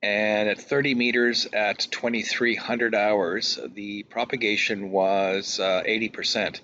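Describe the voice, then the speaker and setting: Male hobby presenter, very low voice, hesitant technical delivery, faint background noise. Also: level tone, unhurried, sharp tone. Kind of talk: casual screen-capture demonstration. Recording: dull lo-fi audio, faint noise.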